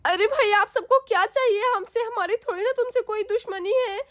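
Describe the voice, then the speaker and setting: एक महिला एक चिंतित गाँव की माँ की आवाज़ निकालती है, जो बच्चों को बचाने के लिए गिड़गिड़ाती है।. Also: female voice, high voice, brisk, no room sound, studio quality, natural voice. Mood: pleading. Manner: pleading, anxious. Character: worried village mother, protective and pleading.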